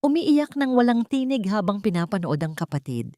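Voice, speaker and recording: A woman's voice: mid-range voice, very animated, moderate pace, mellow, no echo, clean studio sound. A woman narrating a scripted story in a dramatic style.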